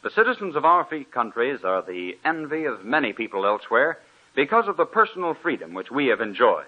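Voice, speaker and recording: A man reads a formal, declaiming sponsor message on old-time radio. His pitch moves lively and his voice is husky. The sound is thin and phone-like. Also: a little fast. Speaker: sponsor announcer. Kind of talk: scripted radio announcement. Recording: tinny old radio broadcast.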